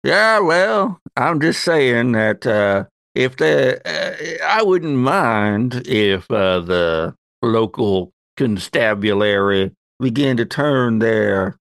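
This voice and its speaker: A man voicing a suave, dapper gentleman in his sixties for a tabletop game, with a folksy, sly, hinting manner. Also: mid-range voice, animated, slow, vocal fry, hardly any pauses, no echo, studio quality, exaggerated voice. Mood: sly, guarded. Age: 60s.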